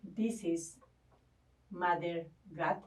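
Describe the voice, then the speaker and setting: A woman's voice: on the low side, extremely slow, distant mic. A woman from Ecuador, a guest talking earnestly about spirituality, often stopping to search for words. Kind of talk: spiritual conversation.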